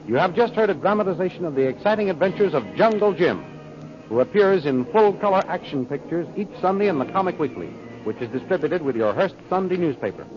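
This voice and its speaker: A man announcing and narrating a 1930s radio serial in a formal, dramatic style. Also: on the high side. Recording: muffled old-time radio recording. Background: music.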